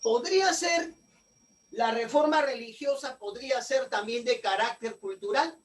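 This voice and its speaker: Male teacher, very high voice, slightly off-mic, lecturing and quizzing his class. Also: a little slow, recorded from a distance. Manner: asks the class questions and answers them himself.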